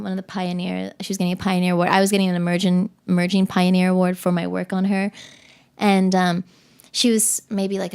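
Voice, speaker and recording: A woman's voice: mid-range voice, gravelly, a little mellow, clean tone. A woman from the Cayman Islands, a podcast guest, talking in a chatty, casual way with many fillers and restarts. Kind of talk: casual interview chat.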